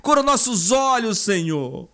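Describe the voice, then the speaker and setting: High-pitched Brazilian man praying fervently. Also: big pitch swings, unhurried, sharp tone. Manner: fervent, pleading, repetitive invocations. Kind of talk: extemporaneous spoken prayer.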